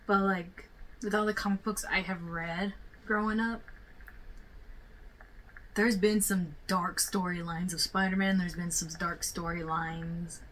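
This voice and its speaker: A woman's voice: fairly low pitch, steady tone, measured pace, fairly bright tone, rough recording. A woman giving casual reaction commentary, thinking aloud in a rambling, speculative way. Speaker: reaction video host. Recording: clearly audible background noise.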